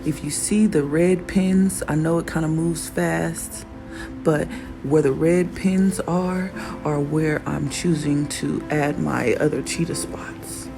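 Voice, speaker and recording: A raspy woman vlogging casually about her own work, admiring it out loud and laughing often. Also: low-pitched, fairly even intonation, slow pace. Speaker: fashion designer vlogger. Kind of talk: casual show-and-tell vlog. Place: workshop in Arizona. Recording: music playing behind the voice.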